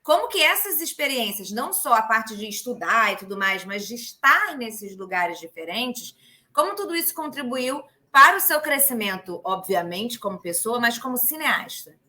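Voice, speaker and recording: A woman's voice: fairly high pitch, very animated, moderate pace, bright, forceful tone. A woman hosting an interview, asking long, winding questions. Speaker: interview host.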